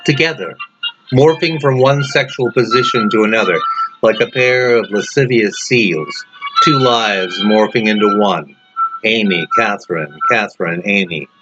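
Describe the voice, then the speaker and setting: A man reading a literary story as its author in a dramatic narration style, with deliberate, set-apart phrasing. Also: fairly low pitch. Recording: dull lo-fi sound under loud music. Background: loud music.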